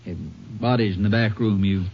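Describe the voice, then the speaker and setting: A man's voice: fairly low pitch, steady tone, moderate pace, breathy edge. A male actor playing a sober, earnest small-town sheriff in an old-time radio drama. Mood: downcast. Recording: muffled old radio recording with noise.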